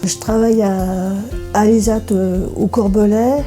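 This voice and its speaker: A woman's voice: medium pitch, fairly even intonation, a little slow, dark tone, soft background music. A woman in her forties giving a documentary testimony in a hesitant, eager way. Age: 40s. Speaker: interviewee.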